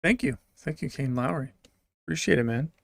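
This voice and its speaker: A man livestreaming, reading out his chat and answering it in a joking, deadpan way. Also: mid-range voice, brisk, gravelly, dry recording.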